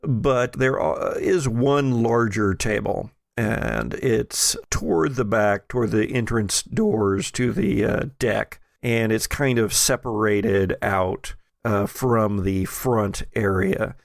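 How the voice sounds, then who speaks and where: Low-voiced man as game master, close and dry. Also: creaky, no echo. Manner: halting, often jokey scene description. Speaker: game master and podcast host. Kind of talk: improvised scene narration for a tabletop roleplaying podcast.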